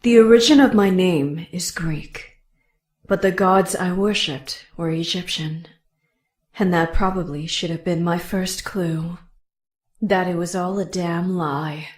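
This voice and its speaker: A woman's voice: fairly low pitch, a little slow, darkish tone, no echo. A woman voicing a fierce, proud, hot-tempered young Amazon warrior in a motion comic, blunt and dramatic. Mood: solemn, bitter.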